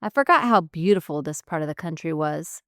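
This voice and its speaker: Woman reading eager curious traveler, low-pitched voice, dry sound. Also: animated, a little fast, a bit bright, no echo. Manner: eager, inquisitive.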